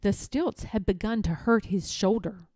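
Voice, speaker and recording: A woman reading a children's story aloud in a deliberate, expressive, dramatic style. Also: mid-range voice, no echo, studio quality. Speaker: storybook reader.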